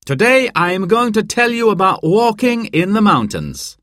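A man's voice: sits high, very animated, normal speed, fairly bright tone, no echo, clean studio sound, pitched above the performer's own voice. A man voicing an upbeat male mountain guide with an emphatic, instructive manner in a storybook.

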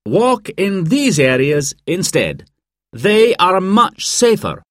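A man's voice: high-pitched, big pitch swings, takes it slowly, fairly bright tone, no room sound, clean studio sound, voice pushed up, full character voice. A man voicing an upbeat male mountain guide with an emphatic, instructive manner in a storybook.

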